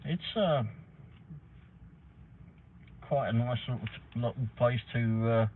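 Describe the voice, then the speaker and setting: A man's voice: mid-range voice, slow pace. Chatty Englishman on a tinny stream. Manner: rambling, chatty, mild British swearing. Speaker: livestream host, delivery driver. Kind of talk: casual live chat. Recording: tinny phone-like audio with faint noise.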